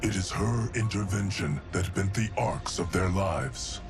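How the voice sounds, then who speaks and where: An actor playing a calm, solemn, timeless advisor to a god in a video game, formal, detached and deliberate. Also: male voice, low-pitched. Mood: calm, grave. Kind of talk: video game cutscene acting. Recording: game music underneath.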